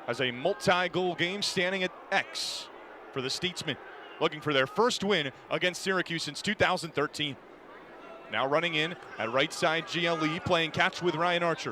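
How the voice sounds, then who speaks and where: A man giving an energetic, descriptive live radio play-by-play commentary of a lacrosse game. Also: a little high. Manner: energetic, descriptive running call of the action. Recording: noisy live broadcast, game crowd behind. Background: crowd and ambient game noise.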